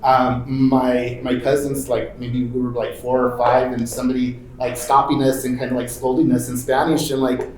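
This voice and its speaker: A man moderating a panel and then sharing his own story in loose, halting talk full of fillers and restarts. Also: medium pitch, flat delivery, darkish tone, roomy sound, poor audio. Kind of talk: casual conference talk. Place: conference room.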